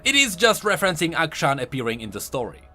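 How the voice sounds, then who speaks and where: A man's voice: fairly high pitch, sing-song, fast, bright, forceful tone, soft background music. A man doing an enthusiastic, conversational voice-over commentary as a video host. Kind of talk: game lore commentary voice-over.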